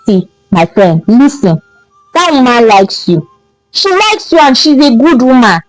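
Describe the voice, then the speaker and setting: A woman's voice: fairly high pitch, very animated, a little slow, dry recording, soft background music, low-quality recording. A woman with a Nigerian accent voicing an exasperated young man who scolds his friend with emphatic questions and interjections in a folktale. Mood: earnest warning.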